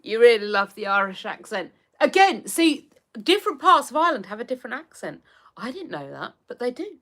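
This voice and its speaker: An English woman in her thirties chats casually on her livestream and reacts to viewer comments as they come in. Her voice sits fairly high and bright, and it swings widely in pitch as she talks. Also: fairly quick. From England. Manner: chatty, animated, reacts to comments. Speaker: livestream host.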